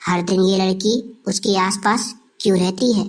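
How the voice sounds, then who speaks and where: A woman's voice: mid-range voice, fairly even intonation, normal speed, close to an everyday voice. A woman playing a suspicious, jealous schoolteacher with an accusing manner in scripted cartoon dialogue.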